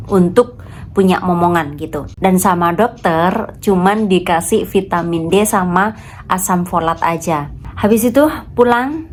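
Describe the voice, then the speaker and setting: A woman's voice: on the low side, slight background noise. A woman in her thirties from Indonesia with a stuffy, congested nose from a cold, chatting casually to her viewers in a home vlog. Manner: chatty, addresses viewers as friends. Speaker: vlogger.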